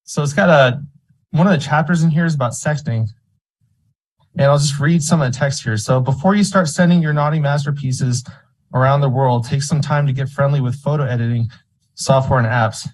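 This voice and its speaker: A man's voice: medium pitch, a little fast, rough recording. A man speaking as a member of the public at a meeting, argumentative and indignant, making his case in a public comment. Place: school board meeting room.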